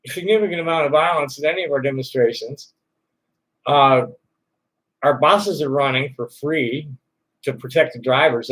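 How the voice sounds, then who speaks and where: A man hosting a live call-in stream, rambling in a halting way with many fillers and restarts. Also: on the high side, fairly bright tone, rough recording. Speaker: livestream host answering chat questions. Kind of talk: rambling casual chat. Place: Tucson, Arizona.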